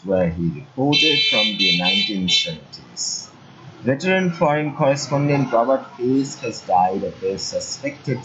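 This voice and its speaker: A man narrating a scripted news report in a steady read-aloud delivery. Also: on the low side, a little hiss or hum. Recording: dull lo-fi audio.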